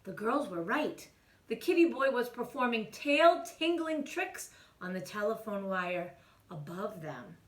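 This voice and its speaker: A woman reading a children's storybook aloud in an animated way, as if speaking to young children. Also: medium pitch, measured pace, far from the mic. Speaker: children's story-time reader. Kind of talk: expressive storybook read-aloud. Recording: echoey room, away from mic.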